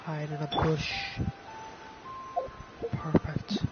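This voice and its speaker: A man casually comments on his own gameplay in a slangy, profane way. He speaks in slow, muttered bits. The audio is dull and lo-fi, with very loud music behind him. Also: fairly high pitch, completely flat delivery. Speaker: game streamer.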